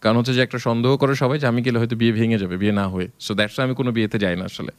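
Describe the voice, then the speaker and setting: A man playing a Bangladeshi wedding salesman who talks extremely fast at a middling pitch. He stumbles over fillers, stays courteous and keeps pleading for the booking in an improvised prank call. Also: clear, resonant tone, lightly acted. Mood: earnest. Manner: hesitant, filler-laden, pleading politeness. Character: persistent, polite male wedding planner salesman. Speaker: radio prank caller.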